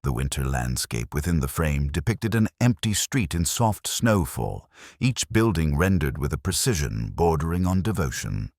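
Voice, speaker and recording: A man voicing a reflective, melancholy police detective who narrates a mystery in a measured, literary way. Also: a bass voice, very animated, darkish tone, no room sound, clean studio sound, full character voice. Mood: brooding, reflective. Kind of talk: first-person scripted mystery narration.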